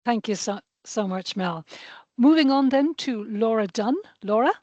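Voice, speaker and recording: A woman's voice: on the high side, animated, measured pace, darkish tone, dry recording, highs cut off. A woman hosting an online launch, introducing each speaker with brief, courteous thanks.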